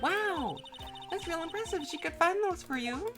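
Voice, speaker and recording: Woman putting on a high, perky teenage girl. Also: expressive, normal speed, over music. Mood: curious, perky.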